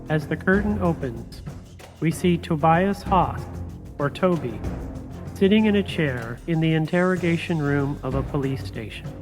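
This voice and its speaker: A man giving measured, scripted narration to open a radio drama. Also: on the high side, expressive, soft background music.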